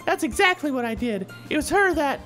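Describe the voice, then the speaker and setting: A high-voiced, combative anime schoolgirl acted out by a man from a visual novel. She is blunt, indignant and quick to accuse, with music playing behind her. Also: expressive, sharp tone, full character voice. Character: bratty, combative anime schoolgirl with a short temper. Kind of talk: visual novel character read-aloud.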